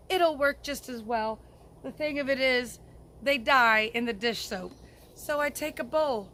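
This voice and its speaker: A woman's voice: high voice, sharp tone. A woman with a cold and sore throat, giving a folksy, casual how-to talk as a gardening vlogger outdoors in her garden. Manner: folksy, informal, chatty.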